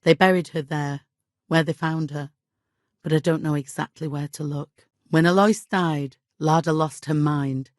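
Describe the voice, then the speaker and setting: An actress playing a middle-aged medieval village widow in a video game, devout and guilt-ridden, with an exasperated, imperious and plaintive manner. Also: female voice, deep voice, fairly even intonation, unhurried, no echo, studio quality. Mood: grave storytelling. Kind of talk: dramatic video game dialogue acting.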